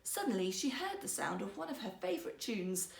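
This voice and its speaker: A woman storyteller reading a fairytale for children in a theatrical, expressive manner. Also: medium pitch, brisk, gravelly. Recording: echoey room.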